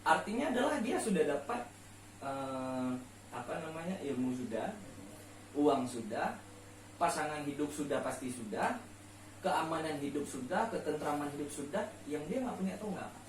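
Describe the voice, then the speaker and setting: A man's voice: a little high, expressive, normal speed. A man chatting casually and explaining, asking rhetorical questions and repeating key words for emphasis. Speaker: main speaker on a late-night discussion show. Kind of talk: casual explanatory chat on faith and life. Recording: roomy echo, distant mic, faint noise.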